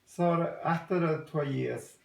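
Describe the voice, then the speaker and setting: Gravelly, halting man from El Salvador. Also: on the high side, reverberant. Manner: halting, many uh fillers, non-native English. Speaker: interviewee. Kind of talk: casual family interview.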